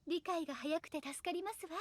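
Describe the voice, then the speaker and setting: High-voiced refined young lady. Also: a little fast, dry recording. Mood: earnest. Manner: polite, ladylike, elegant phrasing. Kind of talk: Japanese anime game voice acting.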